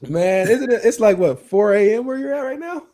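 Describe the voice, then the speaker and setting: A man's voice: high-pitched, lively intonation, normal speed, warm tone. A man hosting an informal religious livestream discussion, speaking emphatically and repeating phrases for emphasis. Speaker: livestream host.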